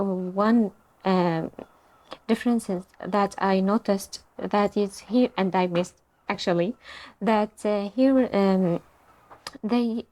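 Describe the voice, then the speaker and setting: Slow, hesitant young woman from Syria. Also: mid-range voice, a little mellow. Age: young adult. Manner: halting, searching for words. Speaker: podcast guest. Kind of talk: casual chat.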